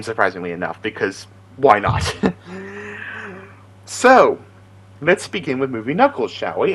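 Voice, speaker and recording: A young-at-heart amateur impressionist, this man talks in a chatty, enthusiastic way. His pitch swings freely in a middle register. He sounds casual and giggly as he introduces his voices. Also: a bit bright. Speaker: amateur voice impressionist and aspiring voice actor.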